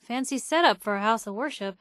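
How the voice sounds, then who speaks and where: An actress voicing a plucky young woman reporter in a video game, wry, colloquial and expressive, with a conscience behind the wisecracks. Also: fairly high pitch, brisk, clean tone, no room sound, studio quality, close to an everyday voice. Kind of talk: video game companion voice lines.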